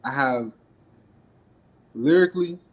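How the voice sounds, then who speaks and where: A young man with a naturally raspy voice, deliberately projecting so he isn't heard as too quiet. He talks laid-back with many fillers, on a podcast mic. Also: medium pitch, lo-fi sound. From Atlanta, US. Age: young adult. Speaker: rapper guest. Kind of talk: casual interview talk.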